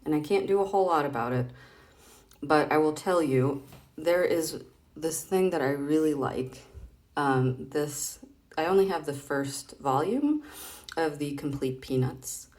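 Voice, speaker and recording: A woman's voice: low voice, lively intonation, measured pace, a little mellow. A woman casually reading comics aloud, chatty and playful, thinking aloud with some hesitation as she explains things.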